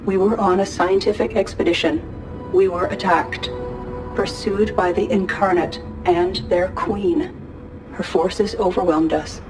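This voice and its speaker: A woman's voice: lowish voice, fairly even intonation, measured pace, over music, natural voice, rough recording. A woman playing a resolute female fleet commander in a game, giving a solemn, urgent recorded message. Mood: grave.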